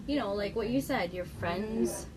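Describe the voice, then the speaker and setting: A woman's voice: mid-range voice, unhurried, a crowd in the background. A young woman from the Philippines, talking with friends as a podcast co-host in a reflective, earnest way. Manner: reflective, earnest, thinks out loud. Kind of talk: casual chat.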